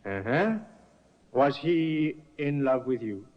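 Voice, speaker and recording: An actor playing a sharp, persistent defense barrister cross-examining witnesses in an old radio drama, heard through a dull restored broadcast. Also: male voice, mid-range voice, lively intonation, takes it slowly. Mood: pressing. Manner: probing, pressing cross-examination. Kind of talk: 1950s radio acting.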